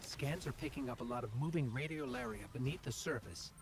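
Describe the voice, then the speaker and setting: A voice actor playing a helpful robotic companion giving brisk, informative reports. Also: male voice, medium pitch, big pitch swings, creaky, noisy background. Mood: alert.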